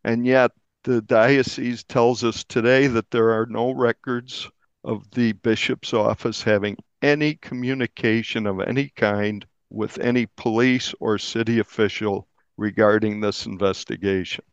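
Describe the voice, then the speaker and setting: A man speaking as an investigative journalist in a podcast interview, reasoning deliberately through evidence. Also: medium pitch, fairly even intonation, smooth, no echo, muffled recording.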